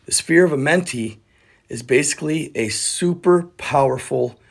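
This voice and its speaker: A man's voice: on the high side, moderate pace, vocal fry. A man presenting an explainer as a content creator, with a conspiratorial storytelling manner and rhetorical questions. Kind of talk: explainer narration to camera. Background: background music.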